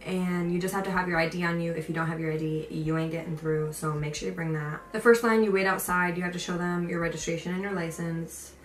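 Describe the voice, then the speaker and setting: A woman's voice: lowish voice, fairly even intonation, fast, hardly any pauses. A young woman vlogger telling a story and giving advice in a casual, chatty, emphatic way. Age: young adult. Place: indoor room with a picture on the wall. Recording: roomy echo, distant mic, faint noise.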